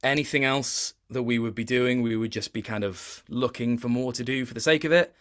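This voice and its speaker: A man speaking as an interview guest in a casual chat, thoughtful and halting, often reworking his sentences as he goes. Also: medium pitch, a bit bright. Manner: thoughtful, halting, with many self-corrections.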